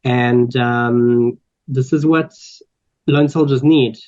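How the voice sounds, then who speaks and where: A man's voice: mid-range voice, fairly even intonation, slow pace, a little mellow. A man in his twenties from South Africa, with a South African accent, introducing himself on a panel, earnest and full of ums and restarts. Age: 20s. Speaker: panel speaker, volunteer. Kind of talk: personal introduction at a panel talk. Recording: slightly dull lo-fi audio.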